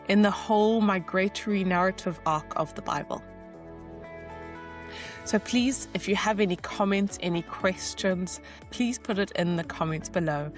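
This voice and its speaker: A woman hosting as a magazine editor, reading a measured introduction to a workshop speaker. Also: mid-range voice. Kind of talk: scripted introduction. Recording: soft music behind the voice.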